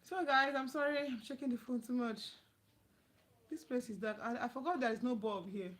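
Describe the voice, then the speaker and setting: A woman's voice: fairly high pitch, normal speed. A Nigerian woman hosting a casual live chat, speaking directly and repeating her phrases for emphasis. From Anambra State, Nigeria. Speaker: livestream host giving relationship advice. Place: dim room at home.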